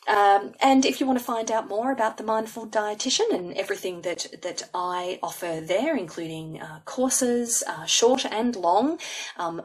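A woman's voice: medium pitch, expressive, moderate pace, recorded from a distance. A woman hosting a podcast, introducing her guest in a casual, rambling way with many fillers and restarts. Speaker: podcast host.

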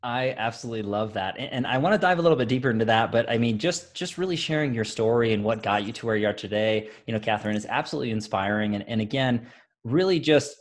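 A man's voice: mid-range voice, lively intonation, fast. A man hosting a live real estate interview show, effusive and full of praise, often murmuring agreement while his guest talks. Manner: effusive, repeats praise, frequent hmm and wow. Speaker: podcast host.

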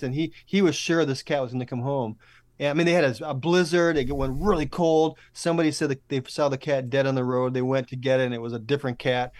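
A man chatting casually as an interview guest, telling stories in an animated, easygoing conversational way. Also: a little high, no room sound. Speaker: audio drama producer and podcast guest.

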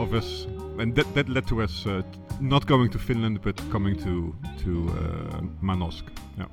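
Man from the Netherlands with a lowish voice, guest telling a story, halting; music behind. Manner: hesitant, many fillers and restarts. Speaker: interview guest. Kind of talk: casual storytelling.

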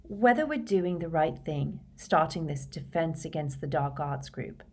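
A woman's voice: low voice, lively intonation, measured pace. A woman voicing an anxious, thoughtful teenage schoolgirl who chooses words carefully and hesitantly in a storybook reading. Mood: uneasy, doubtful.

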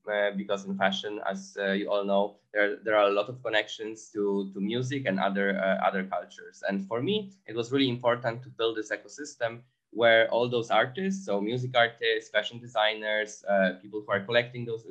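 A man's voice: fairly low pitch, fairly bright tone, dull audio. A man from Poland, speaking English as a second language, answering interview questions with many fillers and restarts. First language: Polish. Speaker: guest entrepreneur.

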